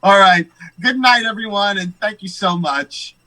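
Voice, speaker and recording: A host with a Long Island accent, chatting casually and affirming the guest often. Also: male voice, sits high, measured pace, slight background noise, low-quality recording. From United States. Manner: warm affirming, frequent mm-hmms. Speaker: podcast host. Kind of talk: casual chat.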